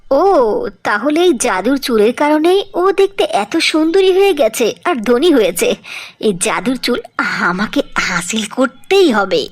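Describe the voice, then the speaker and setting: A woman's voice: sits high, sing-song, a little slow, heavily acted. A woman voicing a jealous, scheming female beauty parlour boss in a cartoon, with exclaiming, dramatic delivery. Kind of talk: cartoon voice acting.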